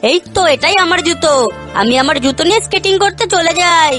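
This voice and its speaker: A woman's voice: very high voice, fast talker, fairly bright tone, soft background music. A woman voicing an earnest, youthful character in a children's cartoon. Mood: cheerful.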